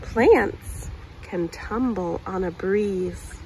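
A woman in her thirties hosting a children's story time, reading a picture book aloud outdoors with expressive, deliberate emphasis on words. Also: mid-range voice, low-quality recording. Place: outdoors on grass. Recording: clear outdoor ambient noise.

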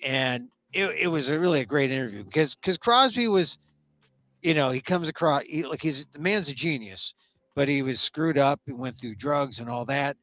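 A man's voice: mid-range voice, expressive, moderate pace, a bit bright, no echo. An American man in his sixties hosting a casual, opinionated chat on a headset mic, rambling and restarting his thoughts. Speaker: talk show host. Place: small indoor room, online talk show.

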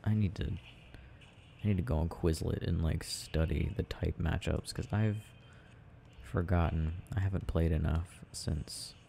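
Young male, mellow and creaky, soft ASMR gaming ramble, quiet musing delivery, slight background noise. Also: low-pitched, steady tone, measured pace. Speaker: audio roleplay creator. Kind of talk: soft casual rambling while gaming, ASMR sleep aid.

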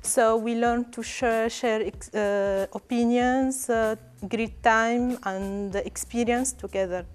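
A young woman gives a student testimonial in a slightly high voice, pausing often with fillers. She speaks into a clip-on lapel mic in a classroom. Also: steady tone, smooth. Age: young adult. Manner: hesitant, many fillers. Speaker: master's student. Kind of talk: testimonial interview. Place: classroom with banner behind.

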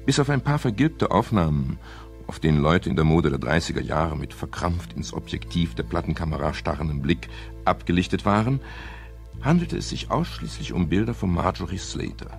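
An actor playing a wry, world-weary private detective in a 1970s German radio crime drama, speaking in a dry, measured and polite way. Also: male voice, sits low, gravelly, darkish tone. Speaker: lead actor and first-person narrator. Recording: 1970s radio play with music.

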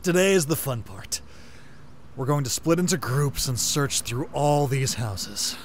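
A man playing a practical survivor group leader in a comic dub, speaking in a measured, instructive way. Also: mid-range voice, lively intonation, slight background noise, lightly acted. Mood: commanding. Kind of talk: amateur comic-dub voice acting.

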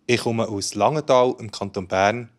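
A man's voice: on the low side, steady tone, moderate pace. A man in his thirties with a Bernese Swiss German accent, a German teacher giving short plain phrases. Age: 30s. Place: indoor studio.

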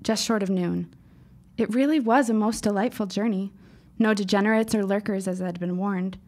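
A woman plays a bookish teenage girl in a radio drama. Her voice has a noticeable creak, and she speaks in wordy, formal phrases with earnest insistence. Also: mid-range voice, moderate pace, darkish tone, lightly acted. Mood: earnest, dramatic. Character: precocious, earnest fifteen-year-old girl writer, shaken but insistent. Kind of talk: audio drama acting.

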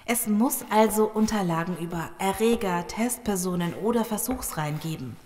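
A woman in a German audio drama playing a calm, analytical medical expert, explaining in a matter-of-fact way. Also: medium pitch, moderate pace, a little hiss or hum, close to an everyday voice. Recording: long hall reverb.

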